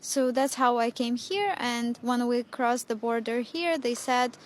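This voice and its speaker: Woman, 20s, slightly high voice, hesitant english, outdoors. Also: a little slow. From Ukraine. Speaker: refugee interviewee.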